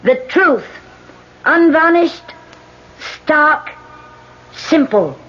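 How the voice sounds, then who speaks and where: Casting: guarded woman politician with a guilty secret, halting and evasive, old radio play, muffled with heavy hiss. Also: sits high, animated, exaggerated voice. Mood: rousing, earnest. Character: woman politician hiding a murderous past, tense. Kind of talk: 1970s radio drama acting.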